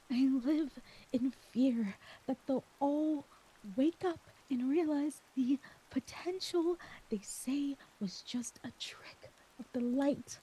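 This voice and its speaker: A woman performing an emotional ASMR monologue as an exhausted, anxious character, speaking softly and haltingly, often near a whisper. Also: sits high, husky, exaggerated voice, poor audio. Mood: anxious, fragile. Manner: slow, halting, vulnerable delivery. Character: exhausted, anxious woman crushed by others' expectations. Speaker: audio roleplay performer.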